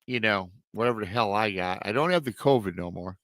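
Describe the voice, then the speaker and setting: Man, middle-aged, deep-ish nasal voice, bit scratchy, chatty host, talks straight to viewers. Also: a little fast, a bit bright. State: nasal, slightly scratchy from lingering illness. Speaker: paranormal show host. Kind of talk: live-stream story retelling.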